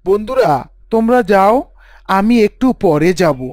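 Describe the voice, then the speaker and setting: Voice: mid-range voice, measured pace, no echo, clean studio sound, lightly acted. Someone voicing a chatty cartoon boy. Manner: chatty, excitable.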